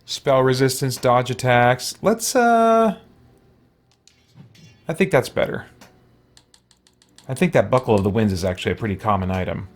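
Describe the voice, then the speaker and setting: A man hosts a casual let's play in a middle-range voice. He thinks out loud as he goes, half muttering to himself in a conversational way. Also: mid-range voice, animated, normal speed, long pauses. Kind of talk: casual gameplay commentary.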